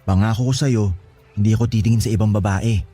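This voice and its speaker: A man's voice: fairly low pitch, steady tone, fast, dark tone, faint music behind, natural voice. A man playing a devoted young boyfriend in a Filipino radio romance, speaking earnestly and tenderly. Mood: reassuring, tender. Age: young adult. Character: devoted young boyfriend leaving to study in the city. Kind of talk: Tagalog radio romance drama acting.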